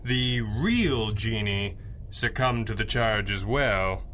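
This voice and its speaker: A man playing a clever masked crime fighter in a radio drama, speaking in a dry, articulate, measured way. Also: on the low side, expressive, fairly bright tone, a little hiss or hum. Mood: dry, confident. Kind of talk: 1930s-style radio drama acting.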